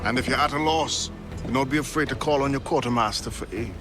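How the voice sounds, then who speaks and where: An actor playing a dutiful, formal and composed assassin informant in a game cutscene. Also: male voice, medium pitch, quick pace, over music, natural voice. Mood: courteous. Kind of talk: dramatic game cutscene acting.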